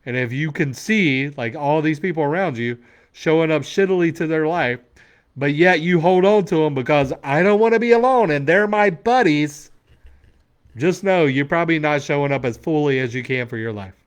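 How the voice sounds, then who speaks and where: A man in his forties with a somewhat raised pitch, coaching a room of listeners in a motivational Q&A. He talks bluntly and emphatically, with plenty of cursing. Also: normal speed, clean tone. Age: 40s. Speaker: men's coach. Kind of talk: motivational Q&A talk.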